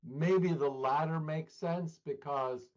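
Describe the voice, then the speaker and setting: A man giving spoken political analysis as an interview guest, hesitant and often pausing mid-sentence. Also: on the high side, darkish tone, highs cut off.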